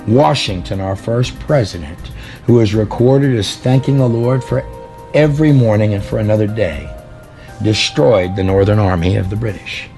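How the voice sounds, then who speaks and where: Male preacher-storyteller, lowish voice, theatrical emphatic delivery of sermon and prophecy, faint music underneath. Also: warm tone. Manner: theatrical, emphatic, drawn-out dramatic phrasing with rhetorical questions. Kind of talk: dramatic sermon with read-aloud prophecy. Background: background music.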